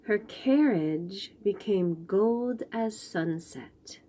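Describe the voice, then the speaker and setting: A woman storyteller reading picture books aloud to young children, gently and with wonder, pausing to chat to the listeners. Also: mid-range voice. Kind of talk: expressive picture-book read-aloud with asides to young listeners.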